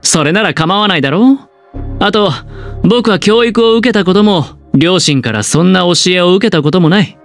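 A man playing a suave, scheming young male gambler in a game, with a smooth, teasing, theatrical delivery. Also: sits high, animated, moderate pace. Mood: nonchalant. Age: young adult. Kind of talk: Japanese game dialogue voice acting. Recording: music behind the voice.

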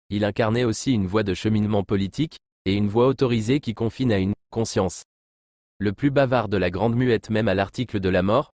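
A man's voice: lowish voice, darkish tone, dry recording, studio quality. A man narrating a scripted news report in a formal, literary, read-aloud manner.

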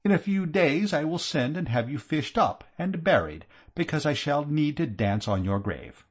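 A furious, sharp-tongued aunt of the gentry, as a male reader puts her on, her voice climbing higher than his own and swooping with lively scorn. She berates with cutting exasperation, every phrase biting. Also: normal speed. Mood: sardonic. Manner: scathing, exasperated scolding. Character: irate middle-aged aristocratic aunt, sharp-tongued. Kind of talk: audiobook character voice.

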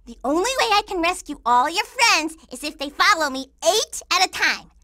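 Squeaky, bubbly little cartoon girl. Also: very animated, moderate pace, sharp tone, no room sound, clean studio sound, heavily acted. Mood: sweet, cheerful. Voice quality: squeaky, childlike. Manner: singsong, gushing, playful. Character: cute, bubbly, sweet-natured little girl in a cartoon game. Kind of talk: cartoon video game voice acting.

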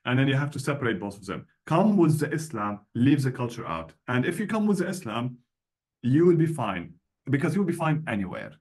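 A man's voice: mid-range voice, very animated, a little fast, a little mellow. A middle-aged man talking from a room with bookshelves over a video call, explaining his views with many restarts and asides. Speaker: interview guest. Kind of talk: casual video call interview.

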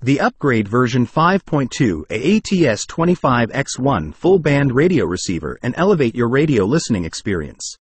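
A man with a husky voice reads a scripted product review in a formal, explanatory way. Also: medium pitch, very animated, moderate pace, few pauses, dry recording. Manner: formal, read-aloud explanatory delivery.

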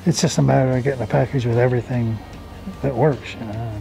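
A man's voice: medium pitch, fairly even intonation, quick pace, vocal fry, dark tone, soft background music, rough recording. An American man in his seventies reminiscing casually in a folksy storytelling way, often adding "you know". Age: 70s. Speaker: off-road enthusiast recounting memories. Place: outdoors on a driveway by an open garage.

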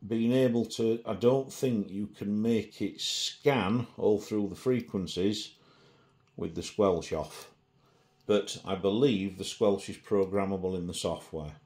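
A man's voice: lowish voice, slow. A middle-aged man giving a casual hands-on gadget demonstration, presenting indoors at a table.

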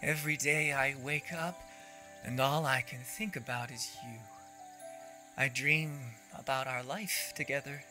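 Man as eager oddball poet, unhurried, forceful bright tone, nervous earnestness, horror audio play. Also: mid-range voice, fairly even intonation, soft background music. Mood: earnest devotion. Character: eager, awkward, lovestruck oddball amateur poet. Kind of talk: horror audio drama acting.

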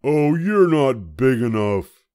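A grouchy little storybook ladybug acted by an older man, pitched high and loud. The delivery is brash and challenging, swooping up and down as it picks fights. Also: sing-song, takes it slowly, dry recording. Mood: dismissive. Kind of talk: children's storybook character voice.

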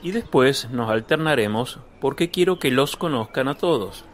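An eager, self-assured young disciple played by a man in a radio play. His pitch moves animatedly up and down around a middle register, and his manner is vivacious and insistent. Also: expressive, moderate pace, close to an everyday voice. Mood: eager, assertive. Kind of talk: radio drama acting.

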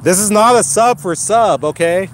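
Slightly raspy man live streaming outdoors. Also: sits high, normal speed, a little hiss or hum. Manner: encouraging, repetitive, addresses viewers by name. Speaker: channel host. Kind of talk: casual live stream chat. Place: outdoors on a lawn walking a dog. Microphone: phone held while walking.

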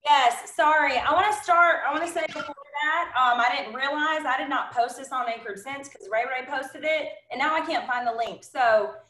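A woman's voice: sits high, moderate pace, few pauses, sharp tone. A woman of thirty giving a casual business training talk, bubbly and emphatic, with lots of "like" and "honestly". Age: 30s. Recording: roomy, echoey sound.

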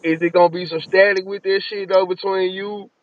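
A slightly dull video-call recording of an American man with a somewhat high voice. He interviews in a casual, slangy way, repeating words and tag phrases as he goes. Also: flat delivery, a little fast.

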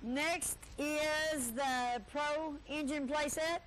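A woman's voice: high voice, fairly even intonation, very slow, fairly bright tone, a little hiss or hum, low-quality recording. A woman in her thirties hosting a live local TV auction from a cluttered stockroom, showing items one by one and joking with the crew. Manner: chatty, joking asides to the crew. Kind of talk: live cable TV sales patter.

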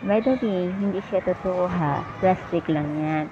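A woman's voice: lowish voice, unhurried. A young woman vlogging on a phone as she walks through an indoor shopping mall, chatting and joking with her viewers. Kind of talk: casual vlog narration to viewers. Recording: dull lo-fi phone audio with mall noise.

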